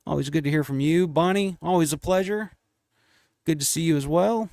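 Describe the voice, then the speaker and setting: A man's voice: a little high, normal speed, darkish tone, dry recording, studio quality. Congested man chatting on a live stream. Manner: rambling, many fillers and restarts. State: congested, losing his voice. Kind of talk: casual live stream chat.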